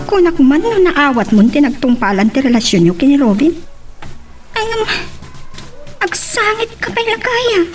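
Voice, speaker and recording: A protective young woman speaks bluntly to a friend. Her pitch is very high and moves in wide swings. It is emphatic radio drama acting over faint music. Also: big pitch swings, normal speed, long pauses. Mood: exasperated. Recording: music under the dialogue.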